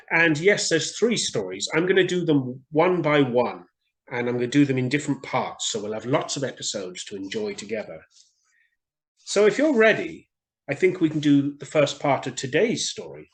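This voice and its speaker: A man reading stories aloud to children in an expressive way, speaking directly to his young listeners. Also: mid-range voice, normal speed. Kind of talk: children's story reading, scripted narration.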